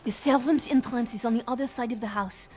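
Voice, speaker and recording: Woman playing a high-voiced, anxious servant. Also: fairly quick, a little mellow, lightly acted. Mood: urgent, worried. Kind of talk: video game voice acting.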